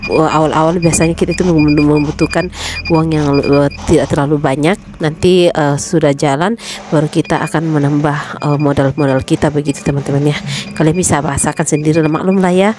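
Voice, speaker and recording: A woman with a rural Indonesian accent, chatting casually to her viewers about running her small shop, with many fillers and asides to her friends. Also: deep voice. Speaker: small shop owner running a banking agent. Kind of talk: casual vlog narration.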